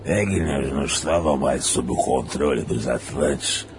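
An actor playing a small, repugnant old male demon, foul-mouthed, muddled and cheeky, with a gravelly, crude drawl in a dramatized fantasy audiobook. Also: very deep voice, very noisy. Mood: musing, evasive. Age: older. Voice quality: gravelly, with bouts of coughing. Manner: slow, crude, slangy drawl.

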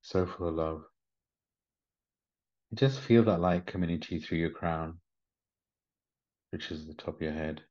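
A man leading a guided meditation in a gentle, soothing manner, with short unhurried instructions. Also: low voice. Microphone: video call. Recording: slightly muffled video call audio.

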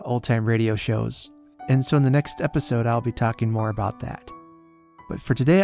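A man's voice: mid-range voice, a little mellow. A man hosting a podcast, talking casually and chattily with some light joking in his introduction. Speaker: podcast host.

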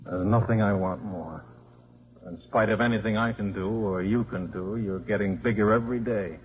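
A man's voice: fairly low pitch, fairly even intonation, moderate pace, close to an everyday voice. Blunt, scrappy father played by a man, muffled radio. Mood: gentle, resigned. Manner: blunt, colloquial. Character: scrappy, plain-spoken, devoted father. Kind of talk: 1950s radio acting. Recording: muffled, hissy 1950s radio recording.

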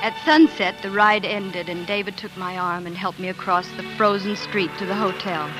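An actress playing a devoted, excitable young pioneer bride, telling her story in earnest, lyrical 1940s radio drama style. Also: medium pitch, normal speed, lightly acted, poor audio. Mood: wistful, happy. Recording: old 1940s radio broadcast, music underneath.

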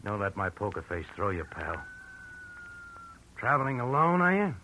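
Animated, sarcastic radio tough guy. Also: on the low side, moderate pace. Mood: needling. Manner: slangy, sarcastic, challenging. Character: suspicious, needling tough-guy hired hand. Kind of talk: hard-boiled 1940s radio acting. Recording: faintly noisy old radio recording.

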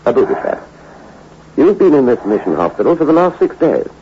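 An actor playing a grave, measured mission hospital man in a sombre 1960s radio drama. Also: medium pitch, big pitch swings, a little hiss or hum, close to an everyday voice. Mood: grave, calm. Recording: dull old radio recording.

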